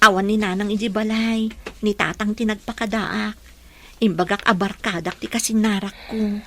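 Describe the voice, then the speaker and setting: A woman playing a soft-hearted, secretive young daughter in a radio drama, plaintive and apologetic, with an Ilocano accent. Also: medium pitch, steady tone, normal speed, a little hiss or hum, natural voice. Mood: apologetic, nervous. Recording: music bed behind the voice.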